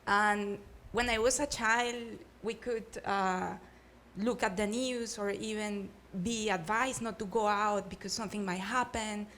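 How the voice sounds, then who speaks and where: A woman's voice: mid-range voice, a bit bright. A middle-aged woman from Colombia with a Colombian Spanish accent, speaking earnestly on a panel with frequent hesitations. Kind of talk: panel discussion. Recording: faint background noise.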